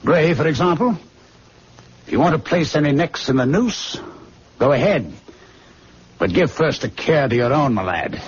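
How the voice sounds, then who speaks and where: An actor playing a cold, condescending blackmailer in medicine, threatening in smooth words, in old-time radio drama style. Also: male voice, on the high side, animated, normal speed, pauses often. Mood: menacing. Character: cold, menacing blackmailer, a conscienceless man of medicine. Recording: dull, noisy old radio drama recording.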